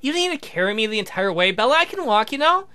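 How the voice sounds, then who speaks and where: A man's voice: high voice, very animated, quick pace, pushed and bright, faint music behind, full character voice. A man voicing a willful young lady in a visual novel, the adoring bride of a vampire, sweet, eager and self-assured. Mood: playful. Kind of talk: voiced visual novel dialogue.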